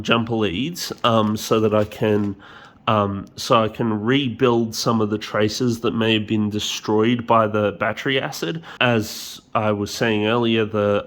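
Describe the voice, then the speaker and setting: A man with a stuffy, nasal voice from a cold, casually talking through a repair with lots of ums and the odd swear. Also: mid-range voice. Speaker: hobbyist repair vlogger. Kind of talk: casual hands-on tutorial.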